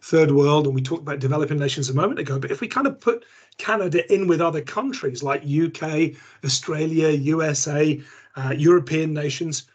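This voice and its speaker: A man from the UK speaking as a guest expert over a video call, animated and emphatic. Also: fairly high pitch, brisk. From United Kingdom. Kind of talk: interview answer.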